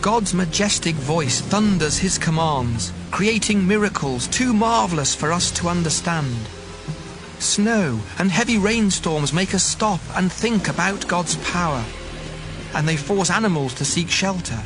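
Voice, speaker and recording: An actor playing a devout man who earnestly declaims his awe of God's power in a dramatized audio Bible. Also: fairly high pitch. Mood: awed. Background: music under the voice.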